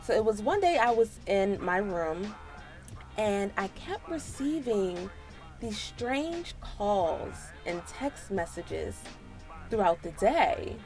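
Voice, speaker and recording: An American woman in her late twenties tells a personal story in a casual, slangy way. Her delivery is animated and sassy, at a middling pitch, with faint music behind her. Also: slow pace. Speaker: storytime vlogger.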